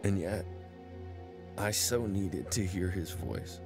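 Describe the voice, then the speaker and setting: A man voicing a troubled angry young airman, low voice, grave first-person narration, radio drama, music underneath. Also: takes it slowly. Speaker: narrator and main character.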